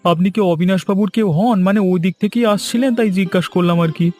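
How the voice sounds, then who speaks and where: A man's voice: high voice, a little fast, warm tone, natural voice. A man voicing an earnest, naive villager down on his luck in a cartoon fairy tale, with a plaintive way of talking. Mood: hesitant, worried. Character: naive, debt-ridden male villager, earnest and easily fooled. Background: background music.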